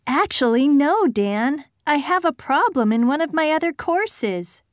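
A woman voicing students, a professor and an office clerk in scripted exam dialogues, with a clear, polite delivery. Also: sits high, expressive, a little slow, no echo, studio quality.

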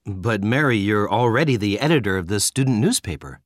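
A man voicing students and a professor in scripted dialogues for a listening exam, with a clear, friendly delivery. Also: fairly low pitch, lively intonation, fairly quick, no room sound, clean studio sound.

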